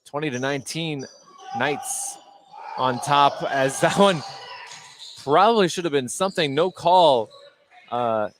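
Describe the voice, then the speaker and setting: A man's voice: on the high side, a little slow, pauses often. A man giving live play-by-play radio commentary on a basketball game. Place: indoor gym at a basketball game. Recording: clear gym noise behind the voice. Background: basketball bounces and gym ambience.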